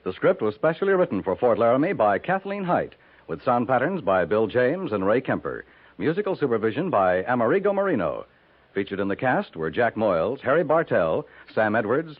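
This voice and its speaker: A man's voice: medium pitch, big pitch swings, normal speed, narrow, tinny audio. A man announcing in a scripted 1950s radio style. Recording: band-limited 1950s radio broadcast with music.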